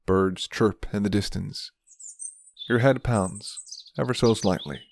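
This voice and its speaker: A man who makes ASMR roleplays, talking and narrating softly. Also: fairly low pitch, slow pace, vocal fry, slight background noise. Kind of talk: soft ASMR talk and storytelling.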